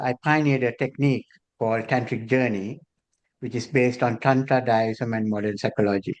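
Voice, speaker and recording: A man's voice: medium pitch, a little mellow. A man from Sri Lanka, a therapist and trainer introducing himself matter-of-factly on a video call. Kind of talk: self-introduction on a group call.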